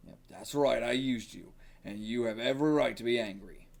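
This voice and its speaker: Casting: man performing old male boxing trainer, gravelly and gruff, solemn confessional delivery, mid pitch. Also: normal speed, close to an everyday voice. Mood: solemn, remorseful.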